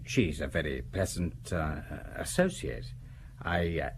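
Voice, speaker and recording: An actor in an audio drama playing a guarded, prickly legal researcher who speaks politely, formally and defensively. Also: male voice, a bass voice, animated, a little slow, slight background noise, exaggerated voice.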